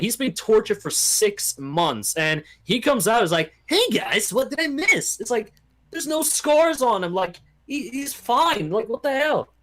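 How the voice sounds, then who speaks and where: A man chatting casually and giving animated, rambling, opinionated takes with mimicked quotes. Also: sits high. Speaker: podcast guest or panelist. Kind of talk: casual opinionated chat about video games.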